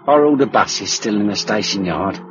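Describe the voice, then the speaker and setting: A man's voice: on the low side, expressive, normal speed, close to an everyday voice. An actor playing a blind, plain-spoken working man in a radio drama, chatty and colloquial. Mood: chatty, curious. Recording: muffled, noisy old radio recording.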